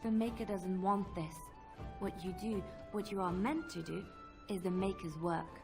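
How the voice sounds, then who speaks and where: A devout young woman with a gentle, earnest manner, voiced by an actress for a fantasy game. Her pitch sits in the middle range and she sounds pleading. Music is clearly heard behind her. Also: moderate pace, lightly acted.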